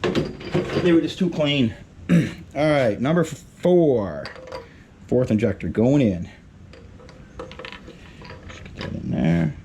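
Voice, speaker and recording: A man's voice: medium pitch, expressive, slow, pauses often, a little mellow. A man giving a casual hands-on how-to as a DIY mechanic, speaking in short muttered steps while he works. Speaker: DIY mechanic demonstrating a repair test. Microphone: handheld camera moved around while working. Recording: clanking tools and workshop noise.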